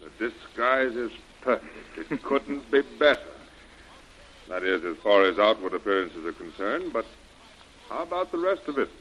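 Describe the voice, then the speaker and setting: A cautious, earnest friend of the hero in a vintage radio adventure. His voice is fairly low and has a worried, cautioning manner. There is faint hiss over the old recording. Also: sing-song, moderate pace, fairly bright tone, dull audio. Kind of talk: old-time radio adventure serial acting.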